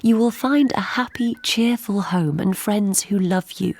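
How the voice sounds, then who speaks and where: An actress playing a tender, yearning young gentlewoman, reading her letter softly and gently in an audio drama. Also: mid-range voice, a little slow. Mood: affectionate. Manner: soft, gentle, breathy at times.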